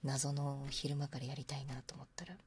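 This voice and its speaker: A woman hosting an ASMR livestream, chatting casually in a soft, hushed voice that often slips into whispers, close to a binaural microphone. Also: very deep voice, monotone, racing. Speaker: livestream host. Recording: close binaural capture with faint noise. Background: faint noise, soft clicks of a ticking clock.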